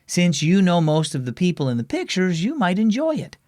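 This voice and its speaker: Patient storybook mother , performed by a man, gently instructive, varied melody, dry recording. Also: high-pitched, sing-song, fairly quick, no echo. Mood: encouraging.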